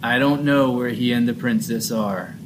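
A man's voice: mid-range voice, steady tone, moderate pace, natural voice. An actor playing an earnest, cautious young male pilot hero in a fan audio drama. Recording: loud background noise.

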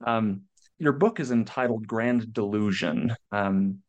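A man hosting an interview, putting questions in a measured, formal, somewhat halting way. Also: fairly low pitch, a little mellow, dull audio. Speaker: interviewer, podcast host.

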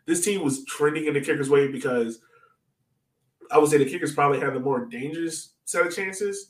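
A man co-hosting a sports podcast in casual, informal soccer chat, often tossing in asides. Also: medium pitch. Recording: roomy echo, distant from mic.

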